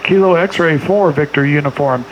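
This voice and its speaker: A man's voice: a little high, normal speed, a crowd in the background, poor audio. A middle-aged man working an amateur radio contest, rattling off clipped exchanges and spelling in phonetics. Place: small home radio room indoors. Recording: clear background noise.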